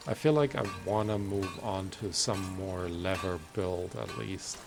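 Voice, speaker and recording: A man giving casual let's play commentary, musing aloud in unhurried asides as he hosts a gaming video. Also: deep voice, gravelly, over music.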